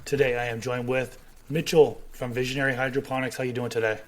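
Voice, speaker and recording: A man in his thirties hosting a gardening podcast from a home room on a boom-arm studio mic, his voice hoarse and a bit raspy, reading sponsor spots in an upbeat way. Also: little pitch movement, fast talker. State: hoarse, slightly raspy from smoke. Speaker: podcast host. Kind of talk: casual podcast chat and sponsor reads.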